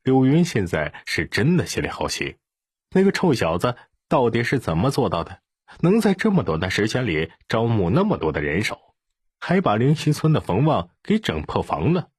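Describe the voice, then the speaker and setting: A man's voice: mid-range voice, wildly swooping pitch, moderate pace, darkish tone, slightly rough, dry recording, studio quality. A man narrating a scripted audiobook story.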